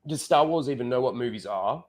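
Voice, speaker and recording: A man's voice: mid-range voice, animated, brisk. A man with a head cold and blocked nose, rambling casually to his chat as a livestream host, full of "like" and restarts.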